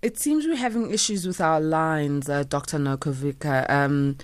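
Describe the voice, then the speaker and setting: A low-pitched woman speaks close to a studio mic in a dry, dead room. She hosts a late-night radio show, leading her guest with questions and many small fillers. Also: moderate pace, dry recording. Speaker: radio host. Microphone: studio microphone.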